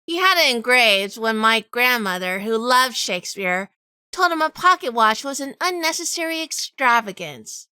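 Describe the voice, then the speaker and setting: A woman's voice: on the high side, expressive, moderate pace, sharp tone, smooth, dry recording. A woman playing a shrewd, probing heroine who narrates and talks in a noir audio drama. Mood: reflective, pained.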